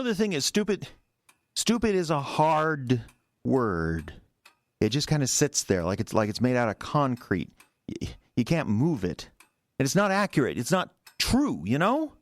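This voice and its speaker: An actor in an audio drama playing a resentful, defensive husband who stammers and rambles in a halting, wounded way. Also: male voice, medium pitch, no echo, lightly acted. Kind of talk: naturalistic audio drama acting.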